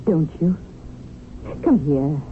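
Calculating widow, smooth-talking n coaxing, old radio play, tinny with a bit of hiss. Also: female voice, low voice, lively intonation, takes it slowly. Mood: seductive, coaxing. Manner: poised, coaxing, theatrical. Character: calculating, self-assured seductive widow, a society beauty. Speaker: actress in a radio mystery drama. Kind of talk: 1970s radio drama acting.

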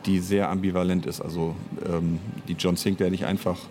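A man with a deep, gravelly voice talks casually as an interview guest. He is measured and hesitant, pausing as he thinks. There is faint noise in the background. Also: flat delivery, dark tone. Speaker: interviewed audio drama writer and director.

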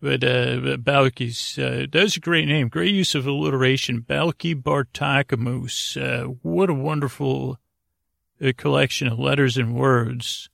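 A man hosting a sleep podcast in a halting, meandering, goofy and self-deprecating monologue, with lulling delivery and a gravelly voice. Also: medium pitch, steady tone, fairly bright tone, no echo, clean studio sound. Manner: meandering, halting, stumbling over words. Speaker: podcast host. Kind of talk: rambling bedtime monologue to help listeners sleep.

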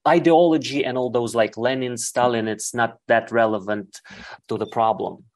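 A man from Ukraine, raised speaking Russian, gives a long interview answer. He pauses often and hunts for words, filling the gaps with "like" and "uh" as he works through his thoughts. His pitch sits in a middle range. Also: steady tone. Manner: halting, searching for words, many fillers. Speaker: guest.

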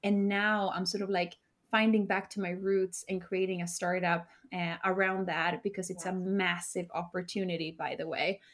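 A woman's voice: on the low side, flat delivery, normal speed. A confident woman from Sweden speaking as a guest in a conversational interview, often dropping in "like" and "sort of". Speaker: guest, startup founder and CEO.

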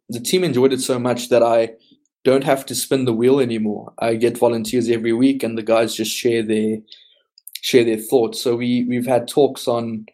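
Podcast guest, male team lead, dark mellow voice, explains at length with ums. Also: medium pitch. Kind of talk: casual podcast interview.

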